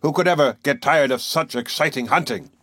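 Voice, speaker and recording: A man's voice: fairly high pitch, a little fast, husky, no room sound, voice pushed up. A man voicing a boisterous old-time harpooner. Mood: boastful. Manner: loud, excitable, boastful. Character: boisterous burly male Canadian harpooner, blunt.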